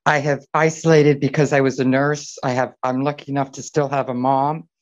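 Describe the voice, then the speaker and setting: A woman's voice: rumbling low, little pitch movement, moderate pace. A middle-aged woman chatting casually on an online call, talkative and rambling. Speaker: fan attending a group hangout. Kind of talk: casual personal chat. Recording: dull lo-fi call audio.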